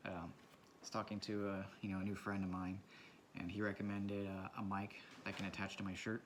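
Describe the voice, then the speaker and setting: A man with a low voice talking quietly in a casual, rambling and reflective way while he draws for his vlog. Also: monotone, brisk, a little hiss or hum. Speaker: vlogger. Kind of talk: casual talk while drawing. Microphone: camera mic from a propped camera.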